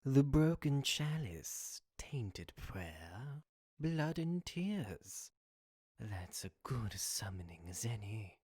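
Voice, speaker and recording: A woman voicing a seductive, taunting devil in an audio drama, with a theatrical, commanding and drawn-out delivery. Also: very deep voice, sing-song, darkish tone, slightly rough, exaggerated voice. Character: seductive, taunting devil granting dark bargains. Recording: hum and noise, home recording.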